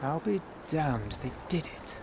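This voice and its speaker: An actor playing a dutiful, wry first mate to a pirate captain in a video game, speaking in measured, formal phrases. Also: male voice, a little high, big pitch swings, gravelly, noisy background, exaggerated voice. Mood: measured, counselling. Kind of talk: video game cutscene dialogue.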